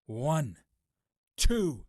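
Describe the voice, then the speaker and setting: A husky, defiant young warrior. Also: male voice, on the high side, big pitch swings, extremely slow, a little mellow, no room sound, clean studio sound, heavily acted. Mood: threatening. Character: angry, defiant young warrior, ruthless and impatient. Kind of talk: dramatic game cutscene acting.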